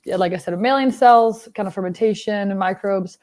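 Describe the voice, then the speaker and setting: A woman's voice: mid-range voice, a little fast, darkish tone. Young woman explaining with many fillers. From Denmark. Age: young adult. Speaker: biotech startup founder and CEO. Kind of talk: conversational interview answers.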